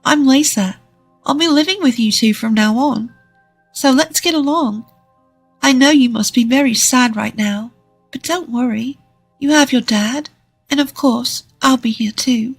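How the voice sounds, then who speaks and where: A narrator voicing a kind, higher-pitched young wife. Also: measured pace, creaky, faint music behind, heavily acted. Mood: gentle, reassuring. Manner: gentle, reassuring, playful. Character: cheerful kind young wife, gently teasing and big-hearted.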